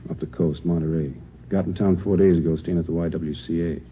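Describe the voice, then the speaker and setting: An actor playing a terse, no-nonsense police officer giving clipped reports in a 1950s radio drama. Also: male voice, sits low, steady tone, fast, lightly acted. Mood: matter-of-fact. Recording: tinny, faintly noisy old radio recording.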